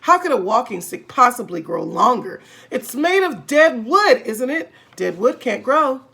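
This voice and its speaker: A woman's voice: a little high, sing-song, a little slow, vocal fry, sharp tone, voice pushed up, exaggerated voice. A woman voicing a gleefully cruel, taunting old husband from a children's storybook, bullying and mock-serious, drawing out key words. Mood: scornful, taunting. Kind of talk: children's storybook read-aloud.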